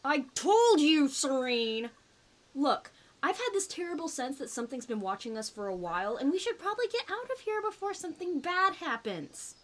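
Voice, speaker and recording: A petulant, complaining huntress, acted by a woman for an audio roleplay. Her voice is fairly high, with the whiny tone of a greedy and superstitious hunter. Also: big pitch swings, normal speed. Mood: uneasy.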